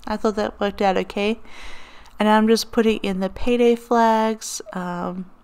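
A woman's voice: medium pitch, fairly even intonation, unhurried, a little mellow, clean tone. A woman giving a casual, rambling voiceover over her planning footage, chatty with frequent ums and a slightly crackly morning voice. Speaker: planner vlogger. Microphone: voiceover over overhead desk footage.

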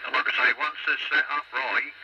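A man's voice: a little high, little pitch movement, fairly quick. A British man chatting casually and technically over CB radio in a blunt, matter-of-fact way. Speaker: CB radio enthusiast. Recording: muffled CB radio transmission with heavy static.

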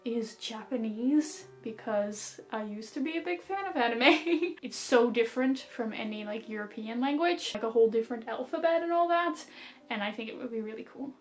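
A young Dutch woman with a fairly high voice chats to the camera in a small bedroom. She talks casually while doing her makeup, laughing often and using lots of "like" along the way. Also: lively intonation, normal speed, soft background music. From Netherlands or Flanders. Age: 20s. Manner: chatty, laughs often, many "like" fillers. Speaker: book vlogger. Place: small decorated bedroom, indoors.

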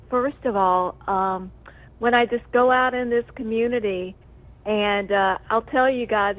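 A woman talking casually and heartfelt over a phone line, effusive and rambling, with lots of emphasis. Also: fairly high pitch. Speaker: TV news presenter on medical leave, calling in. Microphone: telephone call.

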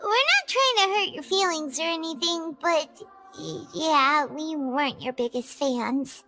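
A woman voicing a tiny, excitable fairy companion girl in a video game, squeaky and childlike, blunt and exclamatory. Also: measured pace, soft background music, full character voice. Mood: sheepish.

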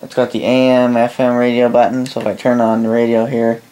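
A man giving a casual, unscripted product review in a rambling, halting way, often correcting himself, recorded indoors. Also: mid-range voice, monotone, warm tone. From Canada. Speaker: host of a radio gear review channel. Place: indoor room full of radio gear.

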